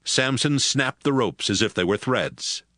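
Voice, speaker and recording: A man narrating scripture in a steady, even storytelling manner. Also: mid-range voice, unhurried, no room sound, studio quality.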